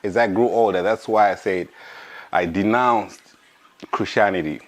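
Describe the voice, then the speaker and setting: Deep-voiced hoarse man, slow. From Africa. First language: an African language. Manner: slow, searching, with restarts. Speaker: podcast host. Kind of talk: casual monologue.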